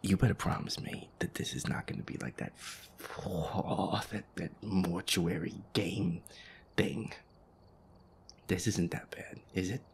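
A man playing a feisty, sweary werewolf boyfriend who grumbles his way through a horror game in an audio roleplay, his voice breathy and gravelly. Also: deep voice, measured pace, a little hiss or hum. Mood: nervous, irritated. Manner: exasperated, sweary grumbling, reading game text aloud. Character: feisty werewolf boyfriend, grumpy, sweary, easily scared gamer.

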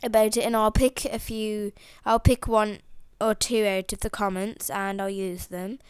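A woman's voice: medium pitch, flat delivery, a little slow, clear, resonant tone, no echo. A teenage girl with a cold chatting in a rambling way, full of fillers and restarts, into a headset mic at home. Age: teens. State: has a cold, sniffly. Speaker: toy video maker. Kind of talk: rambling casual outro chat. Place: indoors at home.

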